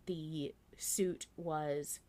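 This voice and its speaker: A woman's voice: low-pitched, steady tone, extremely slow, mellow. A woman hosting a casual podcast chat, emphatic and playfully argumentative, swearing freely. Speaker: podcast host. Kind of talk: casual fan discussion chat.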